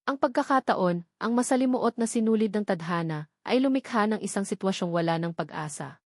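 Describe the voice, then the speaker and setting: A woman's voice: medium pitch, expressive, fairly quick, no room sound, studio quality. A woman reading a scripted romance story as a dramatic narrator.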